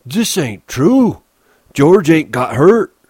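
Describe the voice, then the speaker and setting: Audiobook role: male narrator as a big simple-minded man, anxious and loyal, pitched up, stubborn rural talk, close dry sound. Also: big pitch swings, slow, a little mellow, breathy edge, no room sound, exaggerated voice. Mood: apprehensive, upset. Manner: simple, stubbornly repetitive, ungrammatical rural speech. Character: big childlike slow-witted man, trusting, anxious, fiercely loyal.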